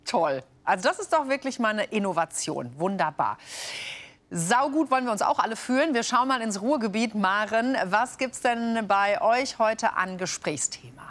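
A woman's voice: medium pitch, lively intonation, moderate pace, a bit bright. Upbeat woman news anchor. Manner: upbeat, chatty handovers. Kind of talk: regional TV news presenting.

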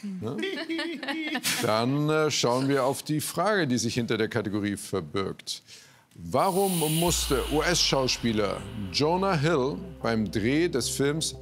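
A man's voice: medium pitch, sing-song, slow pace, fairly bright tone. A man hosting a TV quiz show, chatting with his guests and the studio audience in an upbeat, direct way. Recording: studio audience and music behind.

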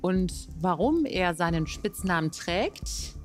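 A woman hosting a quiz show, reading out questions and explaining answers in a brisk, playful way. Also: on the low side, very animated, soft background music.

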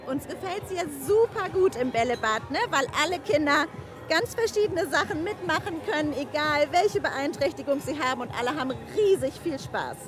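A woman who teaches answers a reporter's question with enthusiasm. Her voice is high, and clear music plays behind her. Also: lively intonation, normal speed. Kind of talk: interview answer.

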